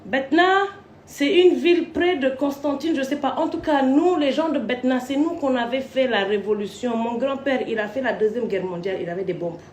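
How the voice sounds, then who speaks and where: A high-pitched woman from Côte d'Ivoire tells a winding personal story, swearing freely as she goes. Her voice bounces around a roomy space. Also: normal speed, slight background noise. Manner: crude, swearing, wandering storytelling. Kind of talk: rambling live rant. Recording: roomy echo.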